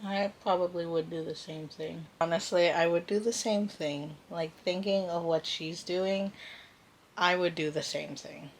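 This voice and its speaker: A woman's voice: lowish voice, fairly even intonation, unhurried, a little hiss or hum. A woman talking informally in a reading vlog, thinking aloud and reacting as she goes. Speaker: book vlogger.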